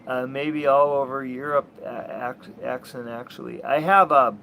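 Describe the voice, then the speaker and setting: A man from Minnesota with an Upper Midwest American accent, talking casually and haltingly from his sailboat, full of restarts and blunt asides. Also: medium pitch, steady tone, vocal fry, a bit bright, a little hiss or hum. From Minnesota, USA. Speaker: sailing vlogger. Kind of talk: rambling casual vlog chat. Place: outdoors on a sailboat.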